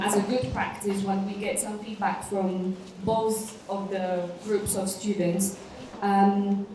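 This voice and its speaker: Woman, student panelist, earnest, conversational, frequent um pauses, echoey conference hall, distant mic. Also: mid-range voice, darkish tone, slight background noise. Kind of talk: prepared panel speech.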